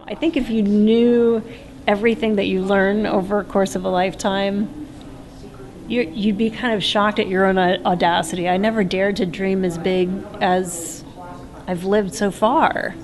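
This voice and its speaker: A woman's voice: mid-range voice, steady tone, a little slow. A middle-aged woman reflecting on life with humor, telling a family anecdote. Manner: humorous, warm, storytelling. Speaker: conference interviewee. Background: music.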